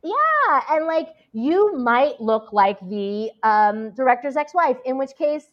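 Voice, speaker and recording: A woman's voice: high-pitched, very animated, slow pace, muffled recording. A woman actress chatting as an interview guest, talking freely with many fillers and restarts. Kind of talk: casual interview chat.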